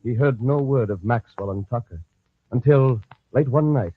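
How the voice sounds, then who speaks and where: An actor serving as the solemn narrator of an old-time radio western, giving dramatic scripted storytelling. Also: male voice, medium pitch, normal speed, close to an everyday voice. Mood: suspenseful. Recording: dull old radio recording.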